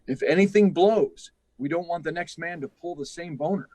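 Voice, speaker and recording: A man's voice: a little high, moderate pace, darkish tone, no room sound, natural voice. A man playing a careful, insistent space project chief in a 1950s radio drama style. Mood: grave, insistent. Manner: insistent, instructing. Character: stern, careful male test project chief.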